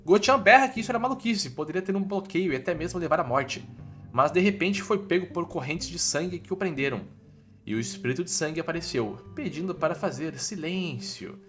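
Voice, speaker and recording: Man, Brazilian accent, story recap narration, highly varied intonation, colloquial, dramatic, faint plucked-string music behind. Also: lively intonation, a little fast, a bit bright. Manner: colloquial storytelling with casual asides.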